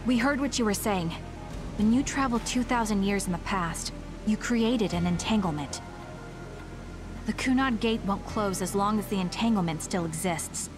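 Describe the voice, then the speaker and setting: Casting: actress as level-headed young female soldier, mid-pitched, direct and firm game-drama delivery, with music behind. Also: normal speed, natural voice. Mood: matter-of-fact. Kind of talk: dramatic video game acting.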